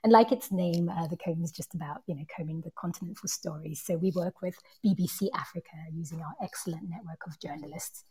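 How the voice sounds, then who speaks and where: Slightly husky woman from Zimbabwe. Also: fairly low pitch, brisk, few pauses, dark tone, rough recording. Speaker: journalist and radio presenter. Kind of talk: conversational self-introduction.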